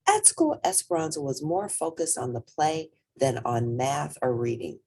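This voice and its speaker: A woman reading a children's picture book aloud in an animated, dramatic way, with a husky, breathy voice that drops into whispery tones and lingers on certain words. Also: low voice, normal speed, a little hiss or hum. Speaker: storybook reader.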